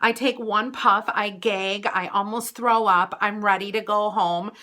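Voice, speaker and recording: An American woman vlogger telling a personal story casually, in a chatty, animated way with dramatic emphasis. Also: medium pitch, a little slow.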